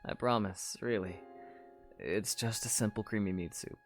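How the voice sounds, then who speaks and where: A calm young hero voiced by a man with a very low voice and a steady, reassuring delivery. He is quietly caring toward the person he speaks to. Soft music plays faintly behind him in this audio drama. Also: moderate pace, lightly acted. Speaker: voice actor. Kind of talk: fan audio drama acting.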